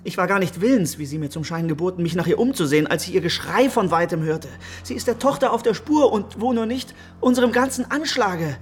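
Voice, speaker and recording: A man's voice: sits high, soft background music. An actor playing a conniving henchman who reports to his master with urgent deference, in a mid-century radio drama. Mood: urgent, alarmed. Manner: excited, deferential, hurried reporting.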